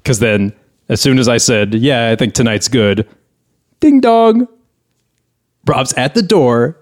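A man's voice: mid-range voice, lively intonation, slow pace. A man hosting a podcast in casual comedic banter, sounding grumbling and exasperated. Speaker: podcast host.

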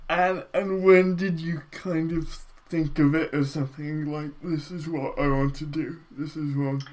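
A man's voice: a little high, a little slow. Young man hosting a podcast. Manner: relaxed, asks follow-up questions. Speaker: podcast host. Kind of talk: casual interview.

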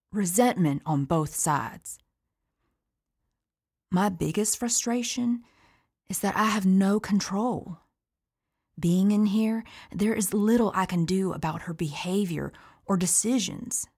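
A woman's voice: mid-range voice, slow pace, pauses often, darkish tone, slightly rough. An actress playing an imprisoned mother who worries about her children, weary and resentful in an audio play monologue. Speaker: incarcerated mother.

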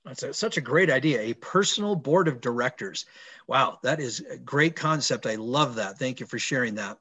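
A man hosting a podcast, asking questions and warmly affirming his guest's answers. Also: medium pitch, animated, normal speed, slightly rough. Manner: encouraging, affirming interviewer. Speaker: podcast host.

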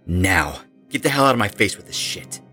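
An actor playing a hostile, evasive young rock musician with a defensive, menacing manner in an audio drama. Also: male voice, lowish voice, very animated, moderate pace, pushed and bright, faint music behind.

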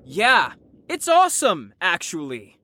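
A woman voices a brash young rebel in an audio drama. The delivery is slightly slow and very animated, full of sarcastic teasing and slang, recorded dry and close. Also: fairly low pitch, no echo, heavily acted. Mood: mocking. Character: brash, cocky, rebellious young slacker psychiatric patient.

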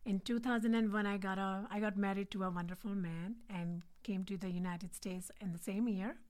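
Woman, Indian accent, forties, podcast guest, earnest, measured. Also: mid-range voice, steady tone, darkish tone. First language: not English. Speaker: guest, nurse anesthetist. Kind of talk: podcast interview.

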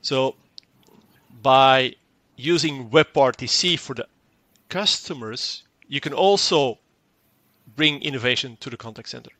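A man whose first language is Dutch explains a technical product in an interview. His voice is bright and forward, and the sound is dry and close. He talks fluently but doubles up words and acts out short exchanges. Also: mid-range voice, measured pace, no echo. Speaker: tech company guest.

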